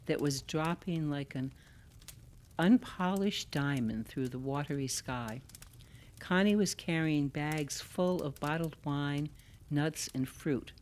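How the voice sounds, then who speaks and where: A woman giving measured, scripted literary narration for an audio play. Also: low voice, a little mellow, a little hiss or hum.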